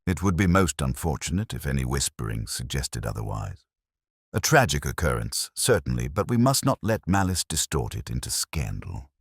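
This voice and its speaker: A man's voice: extremely low pitch, sing-song, moderate pace, creaky, husky, dry recording, studio quality, voice pushed down, exaggerated voice. A man voicing a prim, self-important female club treasurer in a mystery story, formal and defensive. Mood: prim, insistent.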